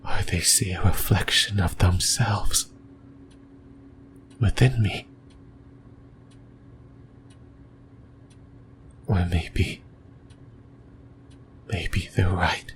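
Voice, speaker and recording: A man voicing a lonely, possessive demon in a breathy, brooding whisper for an ASMR roleplay. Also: low-pitched, fairly even intonation, noisy background. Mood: anguished, fearful. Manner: slow, halting, brooding delivery. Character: lonely, possessive sleep paralysis demon, lost and yearning.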